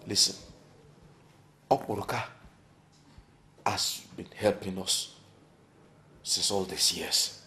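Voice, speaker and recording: A man's voice: low-pitched, steady tone, slow, pauses often, pushed and bright, slight background noise, heavily acted. A man playing a domineering, hot-tempered middle-aged Nigerian family chief in a melodramatic film, given to indignant questioning. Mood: solemn. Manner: indignant repeated rhetorical questions.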